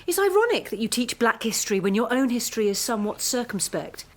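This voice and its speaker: Young student, radio drama. Slightly high voice, sardonic, accusing, unyielding. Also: female voice, very animated, quick pace. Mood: scornful. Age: young adult. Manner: pointed, sardonic. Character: defiant, accusing female postgraduate student. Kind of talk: naturalistic radio drama acting.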